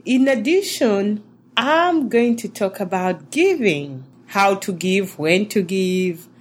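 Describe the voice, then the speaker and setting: A woman's voice: medium pitch, animated, slow pace. A woman from Uganda, hosting a faith-based teaching talk in an earnest, encouraging way. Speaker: show host.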